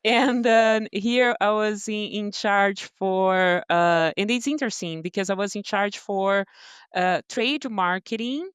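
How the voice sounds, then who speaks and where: Brazilian-accented woman, smooth voice. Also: medium pitch, measured pace, no echo, clean studio sound. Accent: Brazilian Portuguese. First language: Portuguese. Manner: hesitant, many fillers and restarts. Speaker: guest, brand partnerships director. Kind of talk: podcast interview answers.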